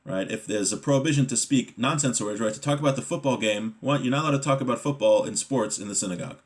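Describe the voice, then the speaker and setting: A man's voice: mid-range voice, quick pace. A man giving a Torah lesson as a religious teacher, reading Hebrew text and explaining it conversationally in English, often checking in with a short question.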